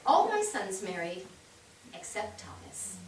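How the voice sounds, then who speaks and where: A woman's voice: medium pitch, big pitch swings, measured pace. A woman playing a proud, gracious elderly widow and matriarch in a staged play reading, speaking formally. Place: theatre stage, recorded from afar. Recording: distant noisy microphone in echoey theatre.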